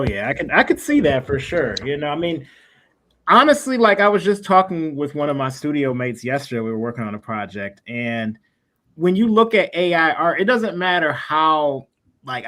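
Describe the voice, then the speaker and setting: A man's voice: on the high side, sing-song, moderate pace, a bit bright. A man hosting a casual interview, talking informally in roundabout phrases. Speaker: podcast host.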